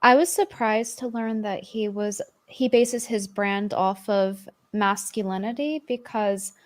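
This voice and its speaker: A woman speaking in a hesitant, measured way in a casual panel chat. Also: mid-range voice, fairly even intonation.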